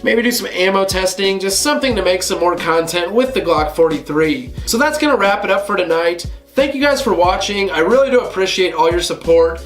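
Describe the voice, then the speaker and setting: A man hosting a casual gun review vlog, slightly hoarse from a cold, talking to viewers in a relaxed conversational way. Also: high voice, brisk, few pauses, echoey room, faint music behind. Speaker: gun channel host.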